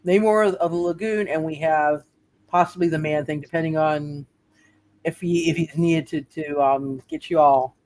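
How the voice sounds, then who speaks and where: A man's voice: fairly high pitch, fairly even intonation, moderate pace, darkish tone. A man narrating a live tabletop roleplaying game as game master, casually rambling and repeating his phrases.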